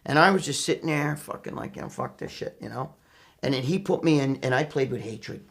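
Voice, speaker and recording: A man's voice: medium pitch, a little fast, creaky. A middle-aged man with a New York accent telling personal stories casually, brash and profane, acting out what people said. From New York, USA. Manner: brash, profane, animated retelling with acted quotes. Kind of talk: casual anecdotal storytelling.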